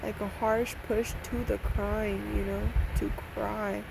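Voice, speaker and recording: A young woman speaks to her phone while close to tears, and her voice drops into a gravelly fry. She talks haltingly, repeats herself and sighs between thoughts. Constant vehicle noise rumbles behind her, as if she is recording inside a car. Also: level tone. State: sad, near tears. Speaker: vlogger. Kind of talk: emotional personal video message.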